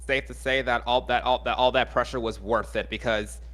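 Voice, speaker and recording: A man with a medium-pitched voice speaks as an audience questioner at a panel. He is casual, polite and grateful. He stammers and repeats words as he goes. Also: fairly even intonation, a little fast, a bit bright.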